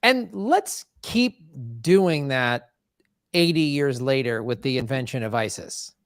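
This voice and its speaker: A man co-hosting a comedy podcast, joking in an animated way and quick to laugh. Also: mid-range voice, no echo, studio quality. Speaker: podcast co-host. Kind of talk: casual comedy chat.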